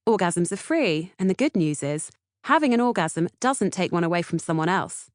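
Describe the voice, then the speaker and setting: A woman's voice: lowish voice, animated, moderate pace, no echo. British woman narrating, dry close sound. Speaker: author-narrator reading audiobook, podcast co-host. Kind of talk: scripted audiobook narration.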